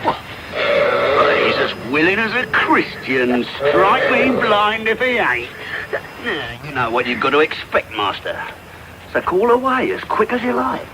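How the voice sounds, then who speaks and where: A man playing a brutal, threatening London thief in a radio drama, with a gruff Cockney voice. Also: on the high side, very animated, measured pace. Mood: menacing. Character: brutal, menacing adult male thief with a dog. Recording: very noisy off-air medium wave radio recording.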